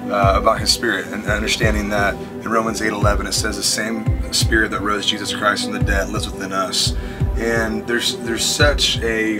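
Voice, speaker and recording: A man in his thirties losing his voice, talking earnestly into a phone on a tour bus after a show. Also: on the low side. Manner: earnest, rambling, with fillers and restarts. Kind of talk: casual livestream talk. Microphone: phone held at arm's length.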